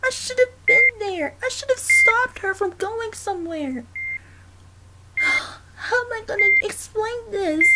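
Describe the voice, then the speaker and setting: A very high, youthful male cartoon fox played by a performer speaks slowly and haltingly, full of earnest worry. He is a gentle friend in an audio drama. The recording is very noisy. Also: very animated, heavily acted. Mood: shocked, guilty. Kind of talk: dramatic ASMR roleplay acting.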